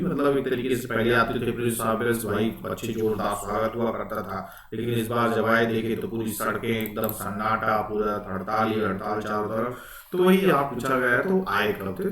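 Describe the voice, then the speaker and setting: A man's voice: mid-range voice, a bit bright. A man teaching an informal online exam lecture, explaining step by step, repeating phrases and often checking that students follow. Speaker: history teacher for competitive exams. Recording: echoey room, distant microphone.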